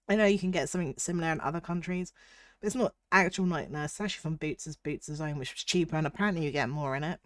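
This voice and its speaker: A young British woman streaming as a VTuber, chatting casually and reading chat aloud while her voice is husky from a cold. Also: low-pitched, fast talker, dry recording, clean studio sound. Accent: British English. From United Kingdom.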